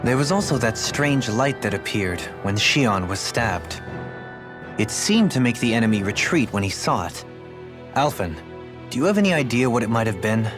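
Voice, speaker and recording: A man playing a composed, analytical adventuring companion in a video game, speaking in a measured, inquisitive way. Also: mid-range voice, very animated, music in the background, lightly acted. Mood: pondering. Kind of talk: video game cutscene voice acting.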